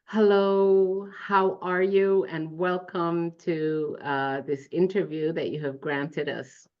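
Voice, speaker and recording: A woman's voice: fairly low pitch, steady tone, takes it slowly. A woman hosting an interview, politely introducing her guest and asking questions. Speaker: interviewer and host. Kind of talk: hosted interview. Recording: dull lo-fi sound.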